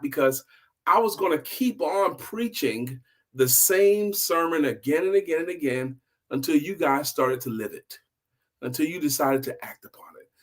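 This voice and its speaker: Man voicing a friendly, higher-voiced patient preacher. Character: gracious, patient male preacher, quietly determined. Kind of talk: storyteller's acted dialogue.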